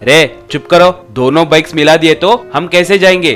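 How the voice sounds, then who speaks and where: Guy doing grumpy young brother in a cartoon tale, slightly high voice, music behind. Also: normal speed, a bit bright, lightly acted. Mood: annoyed. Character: squabbling, irritable young brothers in a comic cartoon story.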